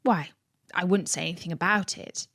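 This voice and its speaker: A woman's voice: fairly low pitch, normal speed, a bit bright, no echo, studio quality. Woman as a curious, dreamy storybook girl. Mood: proud, musing.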